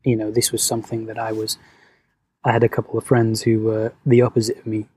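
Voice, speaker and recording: A man, born in Venezuela, talking as a podcast guest in a soft-spoken, hesitant, reflective way. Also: on the low side, monotone, a little fast, breathy edge. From Venezuela, raised in London. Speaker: guest, movement coach. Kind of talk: podcast conversation.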